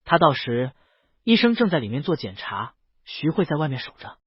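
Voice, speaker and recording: A man's voice: fairly high pitch, sing-song, moderate pace, husky, no room sound, dull audio. A man reads a scripted story as an audiobook narrator, voicing the dialogue himself.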